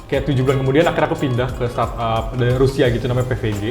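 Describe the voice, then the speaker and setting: A man's voice: medium pitch, steady tone, very fast. A man giving casual interview answers, informal and dropping in English words. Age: 20s. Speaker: guest, startup founder. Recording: roomy echo with music behind.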